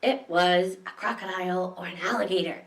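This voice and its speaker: A woman's voice: fairly low pitch, fairly quick. A woman reading picture books aloud to young children as a library story time host, expressively, with questions to her listeners. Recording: echoey room, distant microphone.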